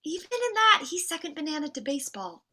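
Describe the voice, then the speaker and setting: A woman playing a flirty, fed-up Mrs. Claus in a comedy table read, breezy and expressive. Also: high voice, fast, exaggerated voice. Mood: dismissive.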